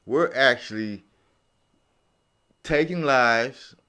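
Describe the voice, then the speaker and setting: A man talking in a casual round-table podcast discussion, halting and repeating himself as he searches for words. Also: mid-range voice, pushed and bright, clean tone. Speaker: podcast panelist.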